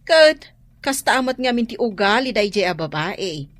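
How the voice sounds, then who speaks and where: A woman's voice: medium pitch, very animated, normal speed, a bit bright, dry recording. An actress with an Ilocano accent playing a quarrelsome, hot-tempered village woman in a comic radio drama, exclamatory and whiny. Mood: gossipy. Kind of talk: comedic radio drama acting.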